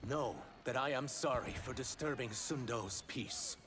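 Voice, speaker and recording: An actor playing an honorable reptilian rebel in a video game, solemn, earnest and resolute. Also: male voice, medium pitch, measured pace, close to an everyday voice. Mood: earnest, grave. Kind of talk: dramatic video game voice acting.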